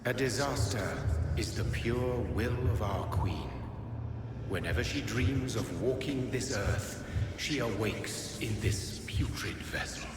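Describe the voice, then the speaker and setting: An actor playing an ancient, solemn vampire progenitor in a video game, speaking gravely in cryptic, archaic phrases. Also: male voice, mid-range voice, natural voice. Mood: ominous, reverent. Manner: slow, grave, archaic formal speech. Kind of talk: dramatic video game acting. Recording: echoey reverberant hall, loud music behind.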